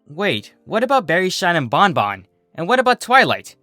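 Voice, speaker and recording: A narrator voicing an excitable, teasing young female pony in a storybook reading, with exclamatory, joking delivery. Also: mid-range voice, normal speed, no room sound, clean studio sound, soft background music, pitched above the performer's own voice. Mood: eager.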